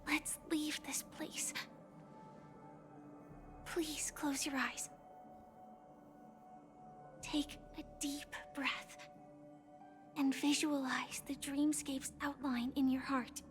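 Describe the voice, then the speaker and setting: An actress voicing a gentle, enigmatic young woman in a video game, speaking softly and breathily, often half-whispering in a calm, wistful way. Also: high-pitched. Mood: calm, reassuring. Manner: slow, gentle, reassuring. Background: game music.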